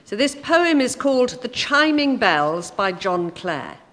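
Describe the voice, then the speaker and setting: A woman speaking formally and deliberately to an audience as a guest poetry reader. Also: fairly high pitch, big pitch swings, a bit bright. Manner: deliberate, careful delivery. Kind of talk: formal introduction and poetry recital. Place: inside a church at a live event. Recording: long church reverb.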